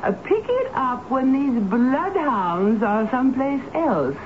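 An actress playing a prim, haughty busybody tenant in a radio drama, melodramatic and sarcastic. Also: female voice, sits high, very animated, slow pace, full character voice. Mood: mocking. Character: prim, theatrical, scolding busybody spinster tenant. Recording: noisy, phone-like thin old radio recording.

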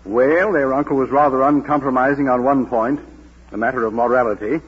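Proper male businessman: medium-pitched, formal, morally strict, muffled old radio sound. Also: fairly even intonation, fairly quick, husky. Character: formal, reserved, moralistic gentleman estate agent. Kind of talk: Golden Age radio drama acting. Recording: dull old radio drama recording.

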